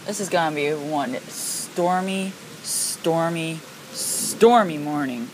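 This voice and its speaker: An excitable amateur storm watcher filming and commenting on the weather in exclamations, often repeating words for emphasis. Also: mid-range voice, slow. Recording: home video with rain noise behind. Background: heavy rain.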